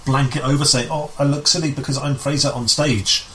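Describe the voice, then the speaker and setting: Man chatting over clear background noise. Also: medium pitch, steady tone, fairly quick, sharp tone. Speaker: interview guest, amateur pantomime director. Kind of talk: casual conversational anecdotes.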